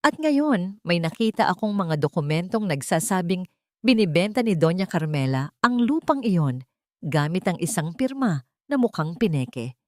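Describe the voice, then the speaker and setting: A woman's voice: fairly low pitch, big pitch swings, normal speed, a little mellow, no room sound, studio quality. Woman voicing an earnest, protective young male lawyer. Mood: urgent, serious. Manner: urgent, resolute.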